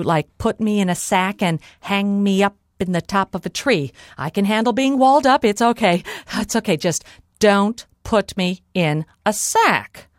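A woman's voice: mid-range voice, dry recording, studio quality, lightly acted. A woman voicing a clever, brave storybook girl with a gushing, wheedling manner. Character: clever, brave girl feigning relief and pleading.

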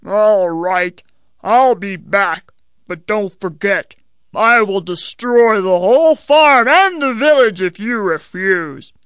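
A woman voicing a hungry, evil male giant who talks loudly and slowly, gloating over his meal. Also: mid-range voice, expressive, clear, resonant tone, no echo. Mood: greedy, threatening. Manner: loud, slow, gloating growl.

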